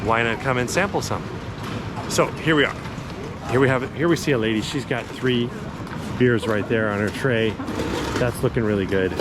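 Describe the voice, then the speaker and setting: A man's voice: medium pitch, normal speed, long pauses. A man giving a casual walking tour as a vlogger, chatty and laid-back but enthusiastic, talking into a handheld action camera outdoors. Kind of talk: casual chatty walk-and-talk narration. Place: outdoors in a busy city park. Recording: outdoor action camera with clear city noise.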